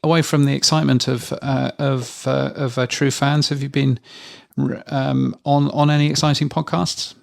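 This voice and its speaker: A man's voice: medium pitch, level tone, moderate pace, gravelly. A man co-hosting a podcast, asking questions in a hesitant, casual way with many restarts. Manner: hesitant, full of ums and restarts. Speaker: podcast news co-host.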